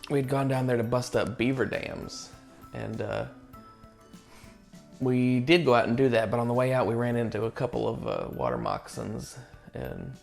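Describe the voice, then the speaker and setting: A man casually telling a family memory in a halting, anecdotal way, as one relative sharing stories with others. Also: mid-range voice, animated, gravelly, a little mellow, faint music behind. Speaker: family member telling a story.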